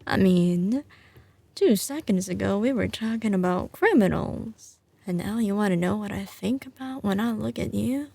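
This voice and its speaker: A woman's voice: medium pitch, expressive, vocal fry, dark tone, breathy edge, no echo, clean studio sound. A woman playing a doting, tough young mafia heiress with a Southern US drawl, speaking intimately to her partner in a teasing ASMR roleplay. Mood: fond, confident. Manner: slow, drawling, teasing endearments. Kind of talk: ASMR roleplay, intimate scripted monologue to the listener.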